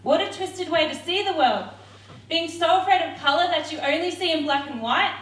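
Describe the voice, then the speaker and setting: A young woman performs rhythmic, defiant spoken word poetry in a high, sharp-edged voice. She is heard from a distance across a roomy hall. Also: normal speed. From Australia. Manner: rhythmic, defiant, forceful delivery. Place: theatre stage.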